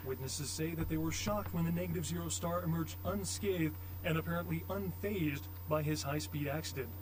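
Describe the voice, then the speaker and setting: A man playing a television news anchor in a video game, reading headlines in a formal, measured way. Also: fairly high pitch, background noise, close to an everyday voice. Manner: formal, measured newsreader delivery. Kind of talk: scripted TV news report.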